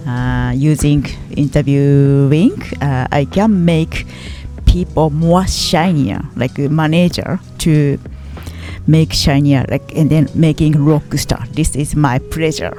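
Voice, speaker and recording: Slow-speaking woman. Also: low voice, fairly even intonation, warm tone, faint music behind. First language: Japanese. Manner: halting non-native phrasing. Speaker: podcast host. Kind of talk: interview conversation.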